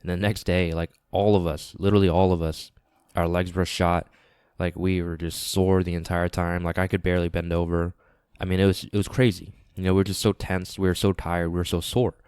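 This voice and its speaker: A man giving a casual, earnest podcast monologue, repeating phrases for emphasis, slightly raspy and nasal with congestion from a lingering cold. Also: low-pitched, little pitch movement, brisk, a little mellow, dry recording, studio quality. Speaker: podcast host. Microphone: close podcast microphone.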